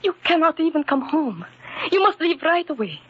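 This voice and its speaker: High female voice, devoted worried wife, melodramatic, formal phrasing, old radio drama, dull lo-fi sound. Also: very animated, moderate pace. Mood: urgent, pleading. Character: anxious, devoted immigrant wife, urgent and protective. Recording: muffled vintage radio recording.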